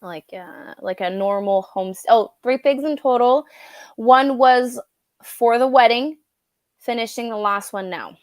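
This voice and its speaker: A woman's voice: on the high side, measured pace, no echo. French Canadian woman, native French speaker, chatty live-stream co-host, dry close sound. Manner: chatty, laughs easily, searches for words. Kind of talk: casual live-stream chat.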